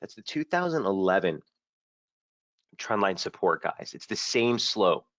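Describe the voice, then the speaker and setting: Host, male, lowish voice, a touch hoarse, brisk casual chart walkthrough, dull dry stream audio. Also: very animated, a bit bright, dry recording. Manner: brisk, casual trader talk with frequent fillers. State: slightly hoarse, under the weather. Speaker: market strategist hosting a trading webinar. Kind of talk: live market analysis webinar.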